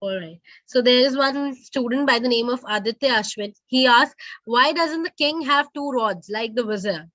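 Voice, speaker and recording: A woman's voice: fairly high pitch, normal speed, bright, forceful tone, no room sound. A woman moderating a live question session, relaying students' questions, heard over dull online call audio.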